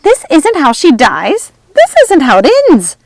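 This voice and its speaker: A woman voicing wicked, frenzied witch sisters from a storybook, imperious and menacing, with shrill, commanding, dramatic delivery. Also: huge pitch swings, a little slow, creaky, dry recording, studio quality, heavily acted. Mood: frantic. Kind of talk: storybook character acting.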